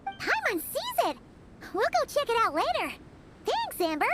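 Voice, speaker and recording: Game sidekick sprite: piping, eager, lively swoops. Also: female voice, extremely high pitch, a little slow, noisy background, full character voice. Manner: eager, refers to herself by name. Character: tiny chatty floating female companion sprite. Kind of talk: game voice acting.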